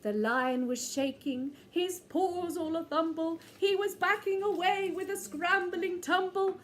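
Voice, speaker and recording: Woman storyteller, high voice, unhurried pace, theatrical children's read-aloud, drawn-out emphasis. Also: fairly even intonation. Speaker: storyteller reading a children's picture book.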